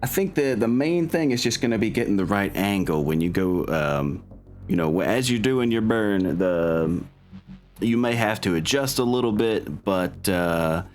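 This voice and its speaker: An earnest military lieutenant, played by a man at a tabletop game, with a fairly low voice. He gives advice haltingly and encouragingly, in a supportive, conversational way. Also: expressive, darkish tone, natural voice. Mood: impressed, advisory. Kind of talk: tabletop roleplay dialogue.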